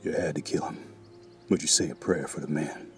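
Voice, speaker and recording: Grave, deep-voiced cavalry sergeant, played by a man. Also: steady tone, fairly quick, faint music behind. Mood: weary. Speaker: actor in an audio drama. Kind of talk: Western audio drama acting.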